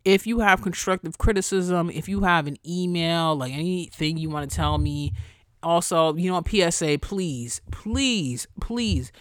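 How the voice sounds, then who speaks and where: A young woman hosting a solo podcast in a casual, chatty way, blunt and profane, her voice jumping high when she gets excited. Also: unhurried, no room sound, studio quality. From New York area. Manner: profane, blunt asides to listeners. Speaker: podcast host.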